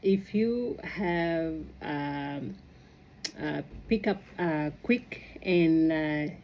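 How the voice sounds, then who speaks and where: A woman with a fairly low, soft-edged mellow voice speaks English as a second language. She talks casually and reflectively about her own experience, earnest and halting, often circling back as she rambles. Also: slight background noise. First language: non-native English speaker. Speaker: migrant sharing personal experience.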